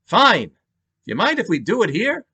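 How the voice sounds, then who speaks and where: A man reading a novel aloud, voicing a brisk, courteous police detective with a New York accent. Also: high-pitched, huge pitch swings, pushed and bright, husky, pitched above the performer's own voice, exaggerated voice. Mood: brisk, polite. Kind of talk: audiobook-style dramatic reading.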